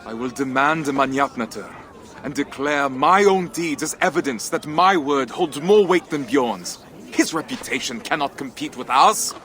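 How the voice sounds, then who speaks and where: A man's voice: medium pitch, normal speed, fairly bright tone, a little hiss or hum, close to an everyday voice. A man playing a proud, determined Viking trader and warrior in a video game, with a rousing, declamatory delivery. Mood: resolute. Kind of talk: dramatic video game acting.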